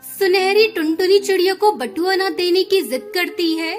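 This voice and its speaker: Woman narrator, very high voice, scripted cartoon storytelling, music behind. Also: normal speed.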